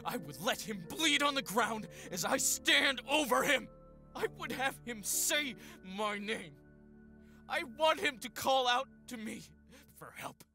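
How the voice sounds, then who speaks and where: A man's voice: extremely high pitch, vocal fry, bright, forceful tone, heavily acted. An actor playing a grieving, vengeful young man in an audio drama, speaking with emotional intensity.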